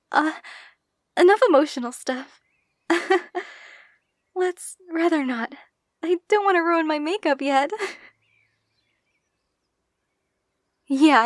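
Woman playing a high-voiced, tender young bride. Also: no echo, studio quality. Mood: tender, playful. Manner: tender, giggly, softly teasing. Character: affectionate, playful, teasing young bride on her wedding day. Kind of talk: intimate ASMR roleplay addressed to the listener.

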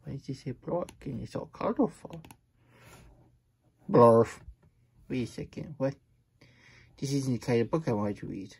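Puppet story-time host: childlike, grumbly hedgehog played by a man, husky and mellow, stammering, fretful asides. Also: medium pitch, expressive, a little slow, long pauses, exaggerated voice. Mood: reluctant, fretful. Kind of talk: puppet read-aloud with chatty asides.

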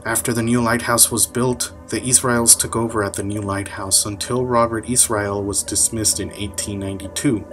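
A man's voice: fairly low pitch, low-quality recording. A man reading scripted documentary narration as a narrator. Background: music.

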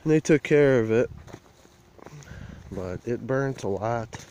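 A man's voice: medium pitch, animated, slow, a little mellow, clear, resonant tone, dull audio. A man vlogging on a phone as he walks a burnt field outdoors, talking in a plain, rambling rural way. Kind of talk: casual walk-and-talk vlog. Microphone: handheld phone camera while walking.

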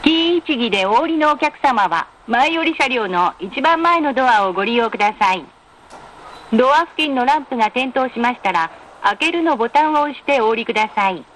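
A woman reading scripted in-car train announcements in a formal, polite, measured way with careful enunciation. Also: fairly high pitch, animated, a bit bright. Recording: noisy train announcement recording.

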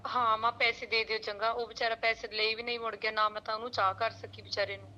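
A woman's voice: a little high, level tone, fast, pushed and bright, lo-fi sound. A woman playing a weary daughter. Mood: weary, worried. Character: troubled married daughter, weary, worried for her child.

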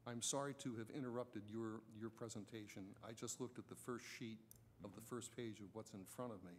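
A man's voice: on the low side, steady tone, brisk, slight background noise. A man speaking as a council member in a meeting, blunt and insistent as he argues. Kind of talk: critical discussion at a public budget meeting. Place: council chamber.

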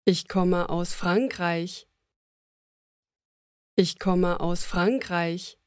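A woman reading lines of a slow scripted conversation for language learners, repeating each sentence. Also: on the low side, level tone, smooth, no echo, studio quality. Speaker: language lesson voice.

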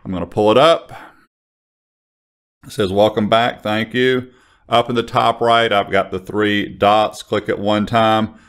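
A man presenting a casual software tutorial into a close studio mic. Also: on the low side, steady tone, unhurried, clear, resonant tone. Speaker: software trainer. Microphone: large studio condenser microphone on an arm, close.

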